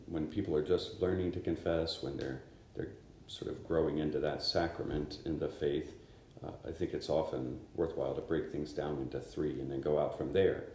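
A man in his fifties, a priest, reading the Gospel and giving a conversational, unhurried homily in a small chapel. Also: rumbling low, mellow, roomy sound, a little hiss or hum. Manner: conversational, unhurried preaching with gestures. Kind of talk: homily at daily Mass. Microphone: camera in front of him.

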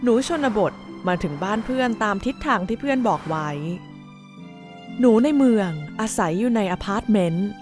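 A woman's voice: on the high side, expressive, normal speed, faint music behind. A woman narrator reading a scripted bedtime story for children.

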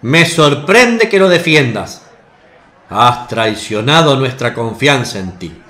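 A man voicing a hostile temple official in a radio play. He speaks slowly with a lively, rising and falling pitch, full of contempt, and a roomy echo trails his words. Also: full character voice. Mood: scornful. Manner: accusing and contemptuous. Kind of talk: radio drama acting. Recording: echoey room.

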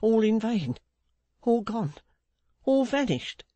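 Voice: medium pitch, very animated, slow pace, warm tone, no room sound, pitched above the performer's own voice. A reader voicing a frantic, remorseful man in an audiobook, exclaiming and pleading deferentially as he punishes himself. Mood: despairing. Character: frantic remorseful man, agitated, self-punishing, begging for reproach. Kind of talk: audiobook character acting.

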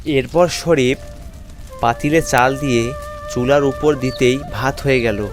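Slow male cartoon storyteller over music. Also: mid-range voice. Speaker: narrator. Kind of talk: scripted storytelling for an animated folk tale.

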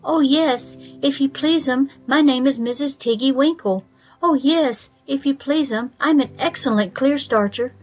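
A woman's voice: high-pitched, measured pace, darkish tone, soft background music, pitched above the performer's own voice. A woman voicing a stout little hedgehog washerwoman from a children's storybook, anxious and deferential, with servile, rustic politeness. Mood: eager, deferential. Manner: bobbing, servile politeness with rustic turns of phrase.

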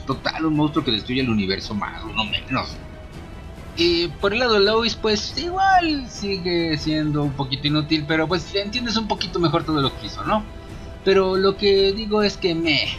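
A man's voice: on the high side, fairly bright tone, dull audio. A Mexican man giving an informal scripted film review in a sarcastic, slangy, opinionated way. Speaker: video reviewer.

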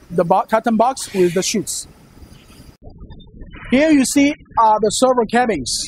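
A man in his thirties speaks accented English, Chinese being his first language, in a fairly high voice as he walks a visitor through a warehouse. He explains steadily but keeps repeating phrases and restarting mid-sentence, filling the gaps with "uh". A lapel mic catches him closely while a faint hum of machinery carries through the large indoor space. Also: low-quality recording. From China. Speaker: company presenter giving a warehouse tour. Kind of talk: guided facility tour explanation.

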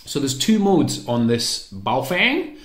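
A man's voice: mid-range voice, big pitch swings, slow pace, a bit bright. A man giving an informal hands-on tutorial, explaining each step and thinking aloud as he goes. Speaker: presenter of a radio programming tutorial. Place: indoor room at a table. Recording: echoey room sound.

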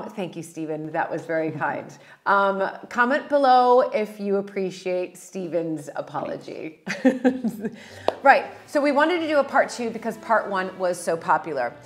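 A woman with an American accent in her forties talks casually to camera as host. She is chatty and quick to laugh, with plenty of ums. Her voice carries a roomy echo from a large kitchen. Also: medium pitch. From United States. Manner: chatty, laughing, many fillers. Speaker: channel host. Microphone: lapel mic.

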